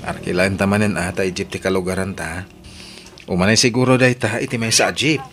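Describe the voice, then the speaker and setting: An actor with an Ilocano accent playing an earnest, pious, hardworking husband in a radio drama. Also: male voice, low voice, fairly even intonation, a little fast, fairly bright tone. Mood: earnest, content. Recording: noisy radio drama recording.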